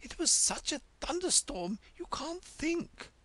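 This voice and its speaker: Reader playing high-pitched, soft, scatterbrained storybook queen, rambling. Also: big pitch swings, a little slow, dry recording, full character voice. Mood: anxious. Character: fretful muddled queen, gentle and anxious.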